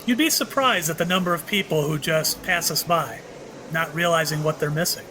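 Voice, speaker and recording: A man's voice: on the high side, moderate pace, pushed and bright, a little hiss or hum. A man playing a mysterious, ageless shopkeeper in an audio drama, knowing and slyly wry, dropping cryptic, teasing hints. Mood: inviting, wry. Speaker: actor in an audio play.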